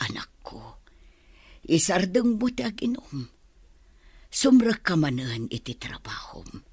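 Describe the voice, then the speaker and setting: Woman acting middle-aged mother, Ilocano Filipino accent, deep husky voice, gentle pleading, unhurried, audio drama. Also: dry recording, full character voice. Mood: gentle, consoling. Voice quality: breathy, husky.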